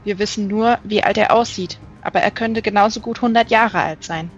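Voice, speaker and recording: A woman's voice: medium pitch, fairly quick, soft background music, close to an everyday voice. A woman reading the part of a stubborn, sceptical old mother in a storybook, blunt and admonishing. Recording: slightly dull recording.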